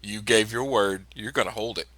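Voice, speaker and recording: A man's voice: lowish voice, steady tone, normal speed, crowd noise. A man bantering as a tabletop role-player, blunt, teasing and sweary. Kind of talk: casual in-character game banter.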